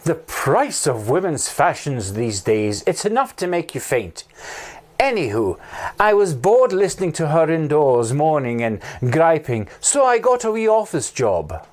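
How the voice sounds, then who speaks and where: A flamboyant stage actor, all camp grandeur and endearments, as a man puts him on. He fusses in a slightly high, unhurried voice, savouring every word. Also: very animated. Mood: chatty. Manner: camp, luvvie, calls everyone darling. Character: flamboyant theatrical male actor, fussy and grand. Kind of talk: comedy sketch.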